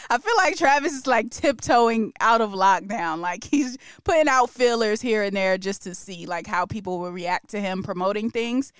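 Chatty woman podcast host, crisp and dry. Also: fairly high pitch, a bit bright, no room sound. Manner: chatty, opinionated, laughs often. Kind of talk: casual pop-culture podcast chat.

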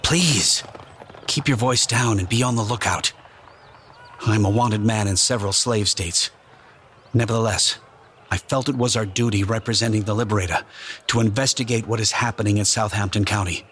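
A man's voice: on the low side, fairly bright tone, a little hiss or hum, natural voice. An actor playing a wary, solemn male abolitionist publisher giving a formal eyewitness report in an audio drama. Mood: wary, grave. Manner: formal, grave, deliberate phrasing.

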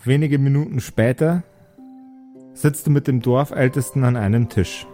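A man's voice: mid-range voice, moderate pace, mellow, faint music behind. A man narrating an improvised tabletop audio drama as its game master.

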